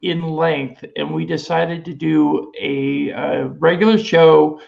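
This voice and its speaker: A man with a high voice for a guy, a guest streamer explaining things in a plain, practical way. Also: steady tone, takes it slowly, creaky, darkish tone. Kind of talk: casual chat. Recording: dull lo-fi call audio.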